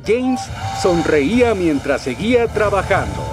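A man narrating a children's cartoon in a gentle storytelling manner. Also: high voice, big pitch swings, a little slow, over music.

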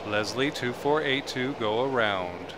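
A man speaks as a gamer acting as air traffic controller. His phrasing is deliberate and procedural, in short clipped commands and mutters. Strong aircraft noise runs behind him throughout. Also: medium pitch, lively intonation. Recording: very noisy, engine drone behind. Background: flight simulator aircraft ambience.

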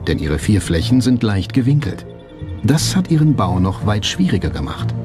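Male documentary narrator, low pitch, lively intonation, measured and emphatic. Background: music.

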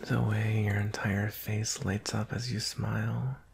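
A young man playing an anxious, devoted werewolf in an intimate ASMR romantic roleplay, speaking hesitantly in a husky, breathy voice. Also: fairly low pitch, level tone, measured pace, slight background noise. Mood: shy longing. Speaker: roleplay voice actor.

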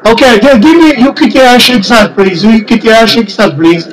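A man from France with a French accent, a native French speaker, making a radio contact as an amateur operator in a halting, repetitive way. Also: very high-pitched, expressive, poor audio. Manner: halting, repeats numbers and phrases.

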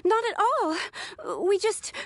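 A woman's voice: very high-pitched, sing-song, slow pace, no room sound, soft background music, full character voice. A woman voicing an eager, deferential, overworked young office assistant in an anime game. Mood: flustered. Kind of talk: anime video game voice acting.

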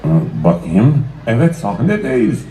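A man's voice: medium pitch, sing-song, a little slow, dark tone. A man playing a grumpy, reluctant comic sidekick in a children's stage musical, grumbling in short questioning exclamations. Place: children's show stage in a shopping mall. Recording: roomy stage sound, far, music behind.

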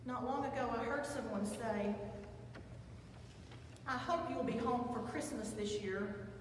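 An older woman pastor with a Southern American accent, reading scripture and preaching in a measured, reflective way. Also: on the high side, distant mic, slight background noise. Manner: measured, reflective delivery with slow emphatic pauses. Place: large church sanctuary with pipe organ, at a pulpit. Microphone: far camera, pulpit microphone. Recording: echoey church hall, heard from afar.

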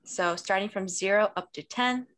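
A woman's voice: medium pitch, normal speed, a bit bright. A young woman teaching the Russian alphabet on a video call, friendly and laughing easily. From Kyrgyzstan (family). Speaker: student language presenter. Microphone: video call laptop mic.

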